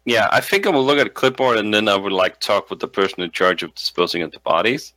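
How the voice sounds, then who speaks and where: A man with a lowish but crisp, forward voice plays a tabletop roleplaying game. He talks casually, repeating words as he works out his plans. The recording is dry and close. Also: animated, quick pace, pushed and bright, no room sound. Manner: hesitant, repeating words while thinking aloud.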